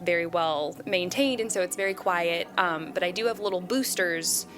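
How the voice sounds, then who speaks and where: Female game player, lowish voice, chatty and descriptive, music playing underneath. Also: moderate pace, fairly bright tone.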